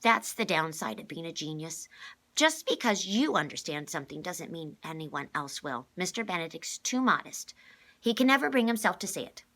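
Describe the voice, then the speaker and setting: Woman playing a brisk, forceful, outspoken assistant. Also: fairly low pitch, sing-song, voice pushed up, full character voice. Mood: firm, protective. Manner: firm, explanatory.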